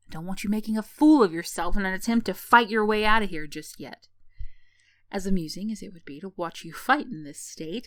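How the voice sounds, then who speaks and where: A woman's voice: mid-range voice, no room sound, lightly acted. A woman playing a sardonic tsundere villain in a roleplay ASMR, teasing and condescending with theatrical sighs and scoffs, yet secretly caring. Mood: exasperated, commanding.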